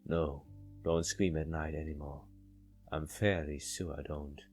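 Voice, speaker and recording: A man's voice: extremely low pitch, fairly even intonation, a little slow, darkish tone. A man reading visual novel narration aloud, stumbling over words now and then. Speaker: game let's player reading aloud. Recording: faint background music.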